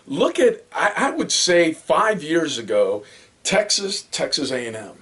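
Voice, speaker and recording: A Cuban man whose first language is Spanish, co-hosting a casual sports talk show and pressing his points with animated, climbing emphasis. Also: a little high, a little slow. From Cuba. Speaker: co-host.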